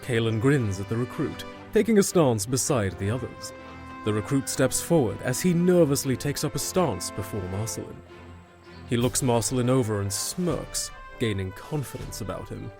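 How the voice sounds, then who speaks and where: Male narrator for fantasy audio drama: mid-pitched, scripted storytelling delivery over soft music. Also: expressive, normal speed.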